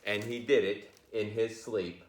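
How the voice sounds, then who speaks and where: A man's voice: lowish voice, fairly even intonation, measured pace. A man reading a children's picture book aloud, playfully talking to his listeners with chatty asides. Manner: playful, addresses listeners directly. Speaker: read-aloud storyteller. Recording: roomy, echoey sound.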